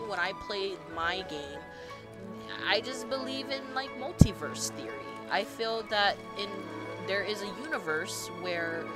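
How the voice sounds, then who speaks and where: A woman streamer rambling casually and excitedly, full of fillers and the odd swear word. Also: mid-range voice. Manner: gushing, animated, swearing casually, lots of fillers. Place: home streaming setup.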